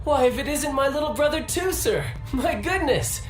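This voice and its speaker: A man's voice: extremely high pitch, lively intonation, moderate pace. An actor playing a cheerful, polite young warrior who dotes on his little brother, speaking theatrically in a game. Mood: delighted. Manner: smooth-talking, theatrical, polite. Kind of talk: scripted game character dialogue. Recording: roomy game audio under clear music.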